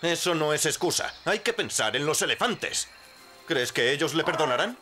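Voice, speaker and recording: An actor playing a stern, authoritative reserve guide in a dubbed cartoon, firm and formal. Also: male voice, fairly high pitch, animated, normal speed, over music, lightly acted.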